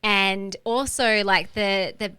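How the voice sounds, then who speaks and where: A woman, co-hosting a podcast and telling a personal story in an open, chatty way, often laughing and filling pauses with "like". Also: medium pitch, steady tone, pushed and bright, clean tone, no echo. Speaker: podcast co-host.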